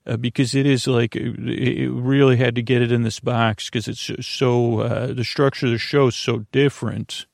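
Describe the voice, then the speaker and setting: A man hosting a bedtime podcast in a meandering, halting monologue full of trailing asides, with a creaky, gravelly voice. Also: medium pitch, flat delivery, darkish tone, dry recording, studio quality. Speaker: podcast host.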